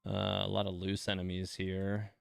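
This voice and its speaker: Low-voiced man casually commentating a game. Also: moderate pace, gravelly, no echo, faint music behind. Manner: thinking aloud, relaxed and informal. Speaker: game streamer and host. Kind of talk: casual live gameplay commentary.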